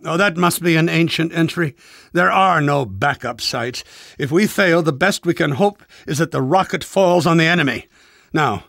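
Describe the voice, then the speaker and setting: Pompous, high-pitched irritable scientist. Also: male voice, gravelly, fairly bright tone, no echo, studio quality. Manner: pompous, sarcastic, impatient, fussy enunciation. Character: irritable, pompous older male rocket scientist. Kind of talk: video game character voice acting.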